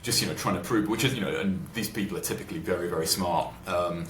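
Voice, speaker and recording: A man's voice: low voice, quick pace, a bit bright. A man giving informal, colloquial interview answers as an investor guest, explaining things in a conversational way. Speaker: venture capital investor, podcast guest. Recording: roomy echo with clear background noise.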